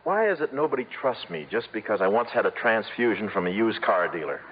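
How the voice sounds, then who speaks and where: An actor playing a wheedling, wisecracking small-time racetrack hustler in 1950s radio comedy style. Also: male voice, lowish voice, expressive, brisk, lightly acted. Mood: smooth-talking. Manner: glib, wisecracking patter. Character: fast-talking, wheedling small-time racetrack con man. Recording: muffled, noisy old radio broadcast.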